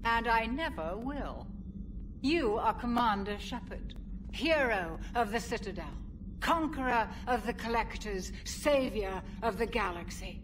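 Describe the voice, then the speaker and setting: A woman's voice: a little high, animated, measured pace, background noise. An actress playing a formal, gracious female ship's doctor in a video game cutscene.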